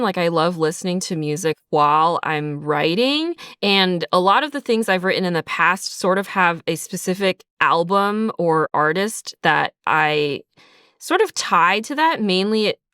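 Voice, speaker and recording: A woman with a fairly low, smooth voice talks in a casual interview. She explains things reflectively, hedging as she thinks aloud. The sound is close and dry, with no room around her. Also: lively intonation, normal speed, a bit bright, no room sound. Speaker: audio drama writer and creator being interviewed. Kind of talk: casual conversational interview answers.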